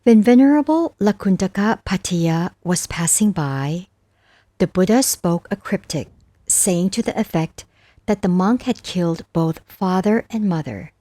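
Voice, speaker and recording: A woman reading scripture as scripted narration, reciting verses with measured, deliberate phrasing. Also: on the low side, expressive, mellow, no echo. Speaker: narrator of a Buddhist scripture audiobook.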